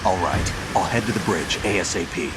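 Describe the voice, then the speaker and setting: An actor playing a wary, terse special-operations veteran in a video game, with a gruff, gravelly voice. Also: male voice, low-pitched, fairly quick, loud music behind the voice, lightly acted. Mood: terse, assured. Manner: terse, dry, guarded. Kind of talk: video game cutscene acting. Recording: heavy noise of rain and vehicles.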